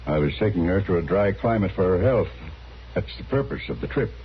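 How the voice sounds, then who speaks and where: An actor playing a formal, anxious older gentleman traveler, polite and hesitant, in an old-time radio western. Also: low voice, animated, a little fast. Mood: worried, polite. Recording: muffled, noisy old radio recording.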